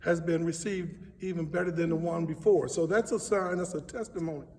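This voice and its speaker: A man's voice: a little high, fairly even intonation, fairly quick, a little mellow, slight background noise. A man speaking casually as an interviewed director, earnest and emphatic, often repeating his words. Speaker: stage play director being interviewed. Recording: echoey hall, distant mic.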